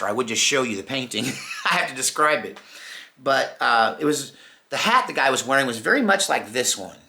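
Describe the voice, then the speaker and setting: A middle-aged American man telling personal stories informally, in a folksy, reflective manner with unhurried pauses. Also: mid-range voice, creaky, bright, forceful tone. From Texas, United States. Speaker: storyteller, channel host.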